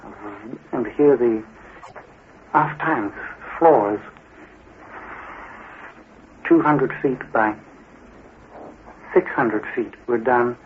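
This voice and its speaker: Slow halting man, trance reading, tinny archive recording with hiss. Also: medium pitch. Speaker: psychic.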